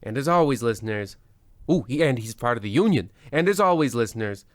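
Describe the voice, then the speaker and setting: A man plays a mock-solemn host of a vintage-style radio chiller. His mid-pitched voice is recorded close and dry, and he rambles drolly. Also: expressive, fairly quick, no echo, natural voice. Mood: droll, mock-solemn. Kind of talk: old-time radio host parody.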